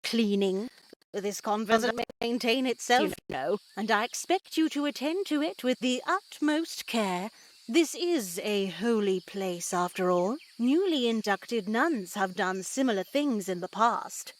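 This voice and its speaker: A woman playing a pious, motherly yet unsettling nun in a horror game, speaking in a genteel, measured, preachy way. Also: fairly high pitch, animated, a little hiss or hum. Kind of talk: scripted visual novel voice acting.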